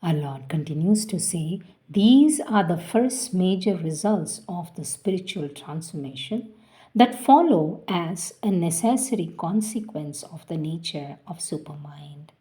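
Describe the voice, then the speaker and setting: A woman reads a spiritual text aloud at a very slow, unhurried pace. Her voice is mellow and fairly low. She is measured and reverent, and her phrases fade softly at their ends. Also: animated. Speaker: devotional reader. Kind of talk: slow devotional reading.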